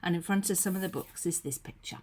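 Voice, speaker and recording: A woman speaks into her computer from a room at home, her voice husky and croaky from a cold. She talks gently and chattily, slightly low in pitch, with soft asides and plenty of ums as she gives a short devotional. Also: fast. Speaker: faith leader giving a short devotional. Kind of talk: casual devotional talk to camera. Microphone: computer webcam.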